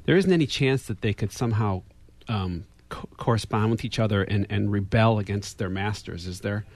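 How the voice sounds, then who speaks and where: A man's voice: fairly low pitch, normal speed, a little mellow, no echo. A man co-hosting a radio tech show, asking playful, joking questions. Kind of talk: joking panel chat.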